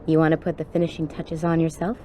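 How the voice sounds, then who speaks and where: A tough, brash policewoman, acted by a woman, with a very low, mellow voice and slangy, wry delivery. It is video game dialogue with faint noise behind it. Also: monotone, fast, lightly acted. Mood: determined.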